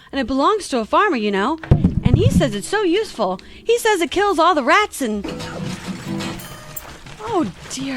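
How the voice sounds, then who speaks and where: Earnest, chatty little girl, voiced by a woman; high and sing-song. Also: a little hiss or hum. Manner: chattery, earnest, easily flustered. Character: curious, polite little girl. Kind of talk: audio drama acting.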